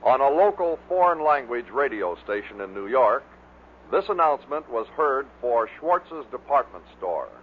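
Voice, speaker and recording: A man's voice: medium pitch, big pitch swings, normal speed. Narrow, muffled vintage American male narrator. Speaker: narrator of a radio bloopers compilation. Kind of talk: scripted narration. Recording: muffled phone-like vintage recording, faint noise.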